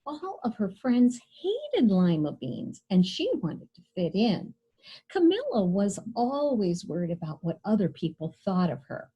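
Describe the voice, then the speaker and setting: A woman hosting a storytime, reading a picture book aloud to young children in an expressive, deliberate way and addressing them directly. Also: mid-range voice, breathy edge, dull audio. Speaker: children's librarian, storytime host.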